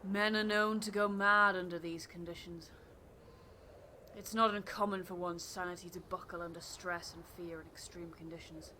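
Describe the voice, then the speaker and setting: A woman's voice: fairly low pitch, steady tone, normal speed, pushed and bright, a little hiss or hum. A woman voicing a weary male ship captain who solemnly reads a grave personal log in a video game. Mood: grave, foreboding. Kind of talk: dramatic reading of game dialogue.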